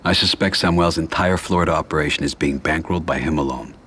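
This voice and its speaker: An actor playing a composed, cautious middle-aged mafia boss with quiet command, in a video game cutscene. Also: male voice, very deep voice, quick pace, a little hiss or hum. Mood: cautionary.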